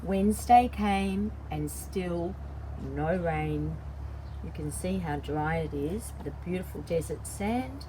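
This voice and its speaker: Storytime educator, female. Unhurried, lowish pitch, stretched key words, noticeable background rumble. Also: warm tone, rough recording. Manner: simple explanatory asides, drawn-out emphasis on key words. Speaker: children's story time host and early childhood educator. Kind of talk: read-aloud picture book storytelling for young children.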